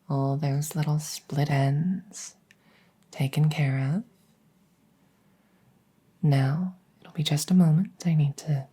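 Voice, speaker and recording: A woman playing a devoted, centuries-old vampire maid in a gentle ASMR roleplay, speaking softly and formally in a breathy near-whisper. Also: low voice, heavily acted. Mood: tender, attentive. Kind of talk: soft-spoken roleplay comfort ASMR.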